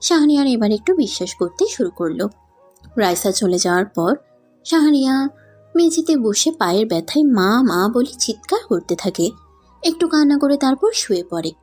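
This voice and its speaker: A woman's voice: on the high side, very animated, moderate pace, a little mellow, husky. A woman narrating a scripted audio story. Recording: music under the voice.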